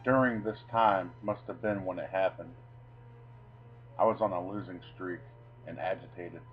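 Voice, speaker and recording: A man presenting and reading his own short story in a theatrical, deliberate way. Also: low voice, steady tone. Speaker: video creator reading own short stories. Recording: dull lo-fi sound with music behind.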